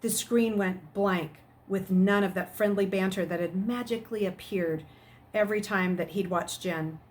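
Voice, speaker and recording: A middle-aged woman, a teacher, reading aloud and speaking to her students in an expressive storytelling manner. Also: mid-range voice, normal speed, a little hiss or hum. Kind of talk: expressive narration of a children's novel and chat to students.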